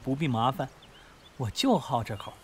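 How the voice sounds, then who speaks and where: A man's voice: medium pitch, lively intonation, normal speed, husky. A man playing a crude, menacing, mocking gangster kidnapper in an audio drama, taunting and foul-mouthed. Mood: taunting threat.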